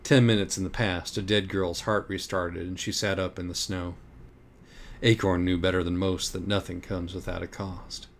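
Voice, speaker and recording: A man's voice: low voice, flat delivery, moderate pace, slight background noise. A man reading scripted narration for an audiobook of a parody story. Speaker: audiobook reader.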